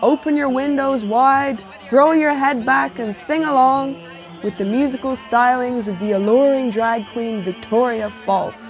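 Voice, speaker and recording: Female host, theatrical scripted presenting, measured pace, light music underneath. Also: high voice. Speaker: show host.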